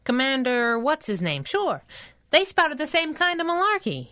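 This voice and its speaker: A woman's voice: high-pitched, wildly swooping pitch, normal speed, sharp tone, exaggerated voice. A woman playing a plucky superhero sidekick in a 1930s-style radio serial, with breezy, slangy sass. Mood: eager, concerned.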